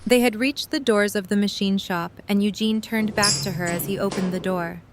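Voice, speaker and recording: Medium-pitched woman narrating an audio drama. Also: fairly even intonation, moderate pace, over music. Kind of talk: scripted story narration.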